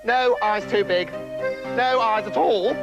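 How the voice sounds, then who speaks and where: A man's voice: high voice, slow, muffled recording, pitched above the performer's own voice. A man voicing a spoilt, conceited young princess in a storybook tale, rude and mocking, with music behind. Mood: gleefully mocking.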